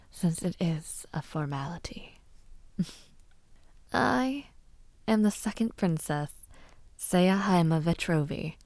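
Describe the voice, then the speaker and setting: Woman acting a slow, creaky, haughty villainess princess. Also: low-pitched, a little mellow, no echo. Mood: haughty, disdainful. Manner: condescending, slow teasing drawl with breathy murmurs. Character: haughty, mocking young villainess princess, coolly cruel. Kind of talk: audio roleplay acting, addressing the listener.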